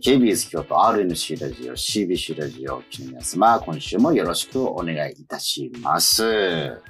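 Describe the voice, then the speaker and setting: A man's voice: a bass voice, lively intonation, brisk, a bit bright, faint music behind. A man hosting a radio show, moving between announcements and casual banter. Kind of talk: upbeat scripted show introduction with banter.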